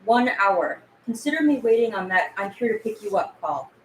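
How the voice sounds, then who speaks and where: A woman's voice: mid-range voice, lively intonation, moderate pace, lightly acted. A woman playing an exasperated, foul-mouthed female insider who fears for her life, at a script table read. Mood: grudging. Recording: echoey, distant mic with background noise.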